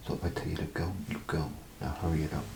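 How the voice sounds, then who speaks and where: A man doing a soft-spoken, half-whispered ASMR role play as a chatty, grumbling bathroom attendant, rambling and hesitant in a folksy way. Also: a bass voice, little pitch movement, fast talker, a little hiss or hum. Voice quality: gravelly, breathy half-whisper. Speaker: ASMR performer.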